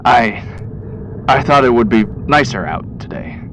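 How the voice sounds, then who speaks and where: A man's voice: medium pitch, expressive, a little slow, fairly bright tone, slight background noise, pitched above the performer's own voice, rough recording. A man voicing a nervous, withdrawn younger man in a horror radio story, hesitant and pleading in manner. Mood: uneasy.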